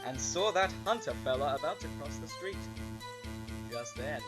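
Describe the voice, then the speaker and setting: A man playing a vain, excitable self-styled hero in an amateur audio drama, boasting in a pompous, theatrical way. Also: fairly high pitch, moderate pace, close to an everyday voice, poor audio. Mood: dramatic recounting. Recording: loud music under the voice.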